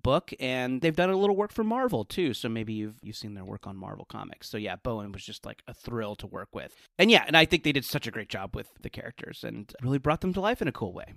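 A man's voice: mid-range voice, animated, fast, gravelly, hardly any pauses, a bit bright, no echo, studio quality. A chatty, enthusiastic man talking casually as a guest in a podcast interview. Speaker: podcast guest, comedy writer.

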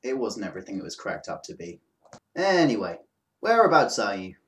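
A man's voice: fairly low pitch, very animated, brisk, heavy background noise. A man playing a flippant, oblivious young gamer with a breezy, dismissive manner in an anime parody dub.

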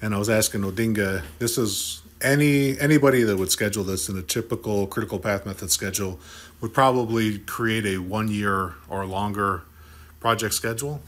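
Man, middle-aged, podcast host, upbeat, teasing, slightly low voice, desk mic in an office. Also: fairly quick. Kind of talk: lively on-site podcast chat. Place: office meeting room.

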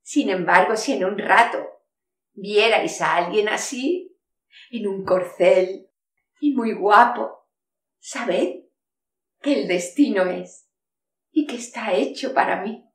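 A middle-aged actress playing a lonely, dreamy maiden walled up in a castle for centuries, declaiming slow sighing verse. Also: female voice, medium pitch, fairly bright tone. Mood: wistful. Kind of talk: theatrical monologue in verse. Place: studio with castle backdrop. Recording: echoey room, mic far off.

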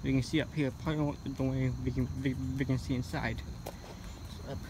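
A man walking around an empty building, narrating casually into his phone. He keeps repeating himself and turns loud when something surprises him. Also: mid-range voice, little pitch movement, moderate pace. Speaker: urban explorer vlogger. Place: outdoors at an empty building and parking lot. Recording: loud outdoor background noise.

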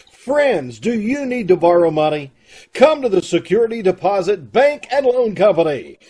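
Showy, high, husky radio announcer played by a man. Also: a little mellow, exaggerated voice. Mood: salesmanlike. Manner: showy, salesmanlike. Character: eager celebrity radio announcer neighbor, showy and friendly. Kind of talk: old-time radio announcer acting. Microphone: video call.

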